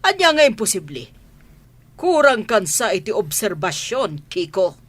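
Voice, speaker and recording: Stern older mistress, lively intonation. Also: female voice, medium pitch, unhurried, husky, full character voice. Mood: suspicious. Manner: scolding, interrogating. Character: stern, suspicious wealthy mistress of a mansion, older mother. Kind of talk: Ilocano radio drama acting.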